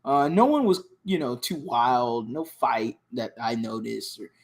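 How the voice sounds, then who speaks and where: A man co-hosting a sports podcast. His voice is raspy and hoarse from cheering, and he talks in an excited, rambling way, often restarting his sentences. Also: mid-range voice, measured pace. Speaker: podcast co-host. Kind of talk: casual sports podcast chat.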